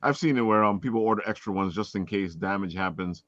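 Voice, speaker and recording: A man's voice: fairly low pitch, fast, muffled recording, noisy background. A man hosting a casual chat in an enthusiastic, slangy way. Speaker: interview show host.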